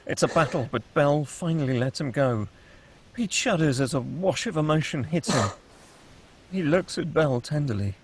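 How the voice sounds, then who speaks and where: A man with a husky voice reading scripted screenplay action as the narrator of a table read. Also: fairly high pitch, animated, normal speed, noisy background.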